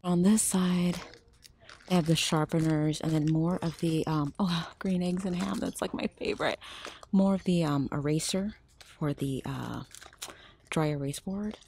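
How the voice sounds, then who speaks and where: An older woman talks casually through a shop-with-me video, chatty and sometimes slipping into a whisper. Her voice sits low and mellow. A faint hiss runs under the phone-camera sound. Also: unhurried. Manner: chatty, often drops to a whisper. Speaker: shopping vlogger. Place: large discount store aisle. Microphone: handheld phone camera.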